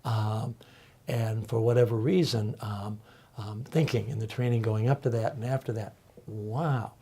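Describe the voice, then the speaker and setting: Older man, warm, halting reflective talk. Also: fairly low pitch, fairly even intonation, slightly rough. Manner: thoughtful, halting, many ums and uhs. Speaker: panel guest, college faculty member. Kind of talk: reflective panel discussion.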